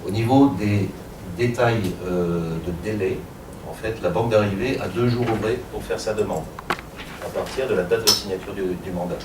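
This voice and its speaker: A man's voice: fairly low pitch, moderate pace. Man presenting in an echoey room. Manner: explanatory, many fillers, light asides. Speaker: workshop presenter. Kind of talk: technical workshop presentation. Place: conference room. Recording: echoey room from far off, audible noise.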